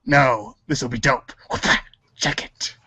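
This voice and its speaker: A man's voice: mid-range voice, steady tone, very slow, pushed and bright, breathy edge, dry recording. A man acting in a comic reader's theater show, goofing around and stumbling through his lines with ad-libs. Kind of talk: comic table read with ad-libs.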